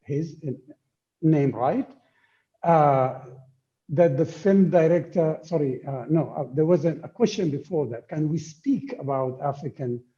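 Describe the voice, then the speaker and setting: Muffled online-call sound, man, very soft dark timbre, halting, repetitive, word-searching, unscripted panel talk. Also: on the high side. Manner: halting, searching for words, repeats himself. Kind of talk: informal, unscripted panel contribution.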